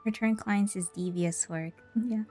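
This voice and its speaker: A woman streams a game with casual, easygoing commentary. Her tone is dark and relaxed at an average pitch, with faint music underneath. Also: a little slow. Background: soft game music.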